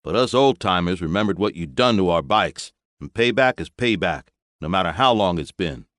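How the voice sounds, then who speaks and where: A man voicing a big, gruff older male space biker with street slang in an audiobook. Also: low voice, animated, normal speed, fairly bright tone, dry recording, studio quality. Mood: grudging.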